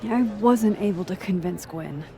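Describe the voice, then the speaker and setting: A woman's voice: fairly low pitch, normal speed, background noise, close to an everyday voice. A woman playing a friendly, curious young woman in video game dialogue. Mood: concerned.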